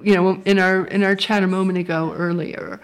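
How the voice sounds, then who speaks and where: A woman's voice: medium pitch, little pitch movement, moderate pace. A woman with a Californian accent, hosting a panel discussion and asking guests follow-up questions. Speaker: host.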